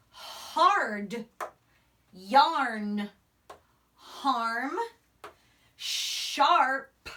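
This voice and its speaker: A woman's voice: high voice, pushed and bright. A young woman teaching a phonics lesson to young children, sounding out words and letters emphatically, recorded from a few steps away. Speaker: primary school teacher. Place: small indoor room, in front of a whiteboard. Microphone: camera microphone a few steps away. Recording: voice distant from the camera mic.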